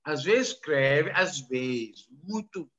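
A man speaking slow, halting, broken Portuguese as a non-native speaker, answering interview questions with many restarts. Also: a little high, animated, dull audio, a loud crowd. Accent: foreign, non-native Portuguese. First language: not Portuguese. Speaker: interviewee, businessman.

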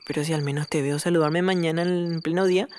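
An actor playing a lonely, shy ten-year-old boy in an audio drama, speaking haltingly and pleadingly. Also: mid-range voice, fairly even intonation, darkish tone, close to an everyday voice. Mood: wistful, pleading. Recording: faint noise, night insects and frogs. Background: crickets and frogs at night.

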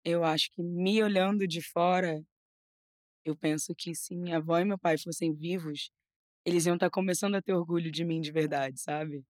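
Young woman, Carioca accent, lower voice, dry close sound, casual guest chat. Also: moderate pace, dry recording. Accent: Carioca Portuguese. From Rio de Janeiro, Brazil. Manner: animated, restarts and repeats words, says "sabe?" often. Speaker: model and influencer, podcast guest.

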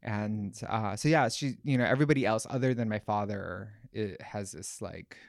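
A man's voice: fairly low pitch, normal speed, vocal fry, no room sound, studio quality. A man from Hawaii, a podcast guest telling his life story in a candid, reflective way. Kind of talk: personal storytelling interview.

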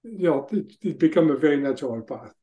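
A man's voice: on the high side, lively intonation, quick pace, dark tone, muffled recording. A middle-aged man from France with a French accent, answering interview questions in a hesitant, casual way with frequent restarts. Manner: hesitant, restarts phrases, laughs between thoughts. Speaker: guest, tech executive.

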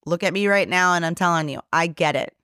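A woman's voice: lowish voice, fairly quick, pushed and bright, clean tone, no echo, clean studio sound. A woman in her forties whose first language is Polish, a guest on an interview podcast, talking in a sassy, brash way and swearing freely while chatting in a bar. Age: 40s. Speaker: podcast guest, brewery co-owner. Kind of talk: casual interview chat.

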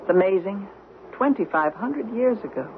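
An actress playing a curious, affectionate wife on vacation in a radio drama, speaking expressively and inquisitively. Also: female voice, mid-range voice, expressive, moderate pace, natural voice. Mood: curious, fond. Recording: tinny, noisy old radio recording.

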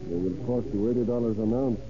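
An actor playing a suspicious Chilean drug smuggler with a Spanish accent and formal phrasing, in 1930s radio drama style. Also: male voice, medium pitch, steady tone, quick pace, crowd noise, lightly acted. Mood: guarded, businesslike. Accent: Spanish-accented English. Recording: muffled, noisy old radio recording.

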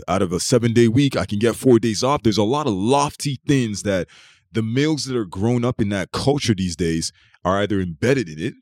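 A low-pitched man holds forth as a podcast host, making his case at length and circling back over his own phrases. His voice is dry and close to the mic. He keeps pushing his argument in a casual but insistent way. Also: no echo. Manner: long-winded, argumentative, restarts and repeats phrases.

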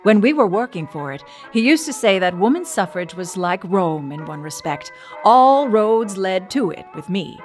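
A woman's voice: mid-range voice, animated, normal speed, hardly any pauses, darkish tone, faint music behind, close to an everyday voice. A woman playing an earnest, witty suffragist of the early 1900s in a dramatic, conversational reading of letters, with opinionated wryness. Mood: wry, earnest.

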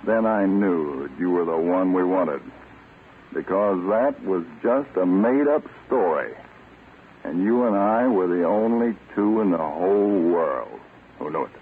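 A stern American government spy-catcher in a 1940s radio play. His voice is very low, deliberate and self-assured. The old recording is thin and narrow, as if through a small speaker. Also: expressive. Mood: triumphant, accusing. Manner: deliberate, authoritative, explanatory. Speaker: radio drama actor. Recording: muffled, tinny, noisy old radio recording.

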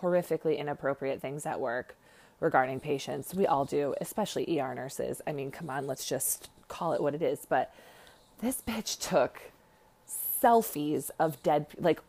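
A woman's voice: low-pitched, moderate pace, darkish tone. A woman with a slight lisp hosting a casual podcast monologue, rambling in a self-deprecating, blunt and sarcastic way. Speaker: podcast host, nurse.

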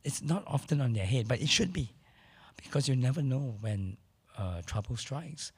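A middle-aged man with a hoarse voice, speaking candidly as a podcast guest in casual conversation. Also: mid-range voice, animated, normal speed, no room sound. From Malaysia. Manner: candid, matter-of-fact, occasional restarts.

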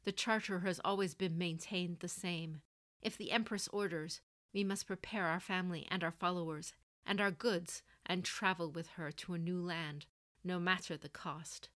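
A woman's voice: lowish voice, steady tone, moderate pace, fairly bright tone, natural voice. Severe older noblewoman and general, performed by a female narrator, blunt and commanding, fantasy audio story. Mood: firm, resolute.

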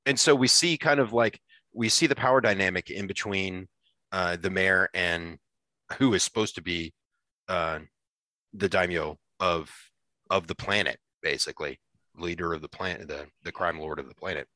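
A man co-hosting a podcast, talking casually about a series as a fan, often pausing and restarting his thoughts. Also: low voice, pushed and bright, no room sound. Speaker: podcast co-host.

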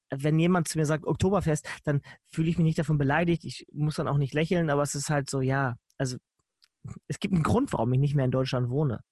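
A young man with a fairly high voice chats on a podcast, teasing his co-host and stumbling over repeated words. The sound is dry and close, with no room around it. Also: darkish tone, no echo. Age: young adult. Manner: joking, teasing, stammering restarts. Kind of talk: casual chat.

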